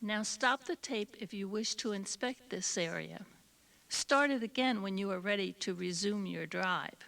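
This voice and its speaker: A woman's voice: mid-range voice, a little slow, vocal fry, fairly bright tone, distant mic. A woman reading scripted instructions as an audio tour guide. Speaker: tour guide giving directions.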